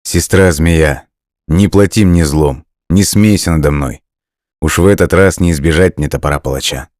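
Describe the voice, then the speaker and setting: A poor, humble woodcutter from a storybook, read by a man. He speaks plainly and ruefully in an extremely deep voice with a dry sound. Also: normal speed, a little mellow, no room sound. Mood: pleading.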